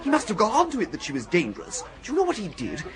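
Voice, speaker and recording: A woebegone young English toff in a radio comedy. His upper-class voice swoops wildly up and down, agitated and melodramatic, always highly strung. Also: huge pitch swings, racing, crowd noise. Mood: indignant. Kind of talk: British radio comedy acting.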